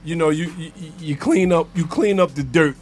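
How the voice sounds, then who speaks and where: A man's voice: a little high, normal speed, darkish tone, soft background music. Emphatic man making sports arguments. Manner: emphatic, restarts his points often. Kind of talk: casual sports talk.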